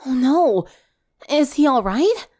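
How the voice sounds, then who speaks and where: A woman's voice: high-pitched, very animated, slow, mellow, voice pushed up, exaggerated voice. Woman acting a casual, wry teenage schoolgirl. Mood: friendly, concerned. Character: friendly, self-assured teenage schoolgirl, newly vampiric.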